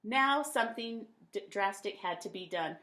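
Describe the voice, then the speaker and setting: A woman reading a children's picture book aloud in an expressive, folksy storytelling manner. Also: medium pitch, normal speed.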